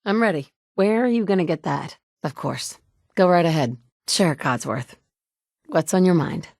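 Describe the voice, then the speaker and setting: A woman's voice: fairly low pitch, animated, normal speed, a little mellow, no echo. An actress voicing a tough, blunt survivor mother in a game, speaking her lines with a dry, wry delivery. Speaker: player character voice.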